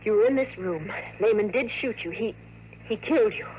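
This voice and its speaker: A woman's voice: mid-range voice, moderate pace, narrow, tinny audio, lightly acted. An actress playing an earnest woman agent in love, urgent and beseeching, in a 1950s radio drama. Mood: urgent explaining. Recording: noisy vintage radio recording.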